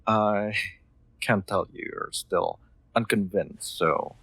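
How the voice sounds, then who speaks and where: A man playing a kind, soft-spoken master in an intimate comfort roleplay, gently reassuring with formal, slightly hesitant phrasing. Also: on the low side, drawn-out words, slightly rough, no echo, full character voice. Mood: tender, persuasive.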